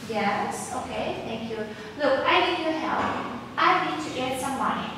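A young woman teacher asking a child test questions slowly and clearly, with short praise between answers. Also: high voice, sharp tone. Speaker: English teacher giving an oral test. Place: small classroom, indoors. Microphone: far camera. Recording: echoey room, far from the mic, background noise.

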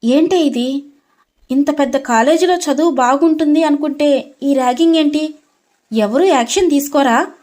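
A woman's voice: sits high, normal speed, pauses often, a little mellow, lightly acted. A woman voicing an indignant, anxious young college girl in a dramatized story.

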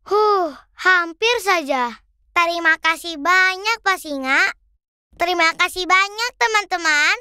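A woman's voice: very high-pitched, a little slow, sharp tone, dry recording, full character voice. A woman voicing a small, cute cartoon animal with a childlike, perky manner. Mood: relieved.

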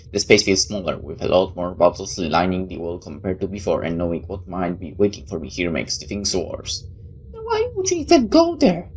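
A woman gamer reading game text aloud and adding casual, incredulous commentary. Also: a bass voice. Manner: incredulous asides. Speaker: gamer doing a playthrough. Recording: faint background noise.

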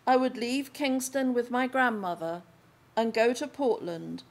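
An older woman from England reading a passage of her own book aloud at a lectern in a lecture room, in a deliberate, phrase-by-phrase delivery. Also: fairly high pitch. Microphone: lectern microphone.

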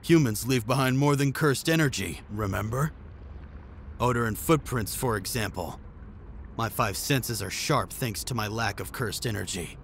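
A man's voice: medium pitch, normal speed, a little hiss or hum, lightly acted. A man voicing a cocky, cold-blooded assassin in a manga dub, with a nonchalant, taunting delivery. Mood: indifferent. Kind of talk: amateur anime manga dub acting.

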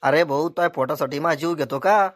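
A man's voice: fairly high pitch, fairly quick, close to an everyday voice. A man voicing a dim, whiny, crude young bridegroom in a cartoon comedy, with a rural Maharashtrian accent. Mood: complaining. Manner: naive, complaining.

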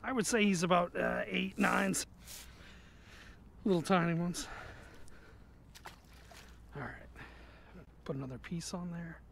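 A man's voice: high voice, fairly even intonation, a little slow, vocal fry, pauses often, fairly bright tone, a little hiss or hum. A man vlogging while fishing on an outdoor pier, chatting casually and muttering to himself, recorded on a head-mounted action camera. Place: outdoors on a pier over an inlet.

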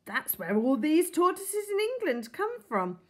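A woman's voice: very high voice, very animated, a little slow, voice pushed up, full character voice. A woman reading as a shy, lovesick older man. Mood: eager. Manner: polite, solemn. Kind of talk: storybook reading.

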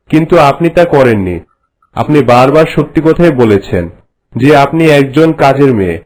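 A man's voice: medium pitch, darkish tone, close to an everyday voice, rough recording. A man playing a reverent royal courtier in a cartoon, explaining things formally and respectfully to his queen. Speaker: storytelling courtier. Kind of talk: scripted cartoon dialogue in formal narration. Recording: music bed under the voice. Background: music.

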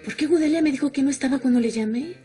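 A woman's voice: high voice, racing, soft background music, lightly acted. An actress playing a young woman in a telenovela, anxious and pleading about her absent lover. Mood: desperate. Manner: pleading, soft. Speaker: heroine. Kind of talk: 1990s telenovela acting.

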